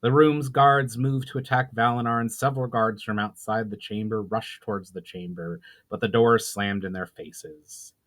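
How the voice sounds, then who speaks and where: A man's voice: on the low side, steady tone, moderate pace, few pauses, a bit bright. Man giving a dramatic fantasy narration. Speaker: author reading his own novel.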